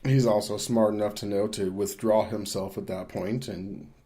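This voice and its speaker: A man co-hosting a podcast, giving his opinions casually in a measured, matter-of-fact way. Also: fairly low pitch, steady tone, mellow. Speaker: podcast co-host.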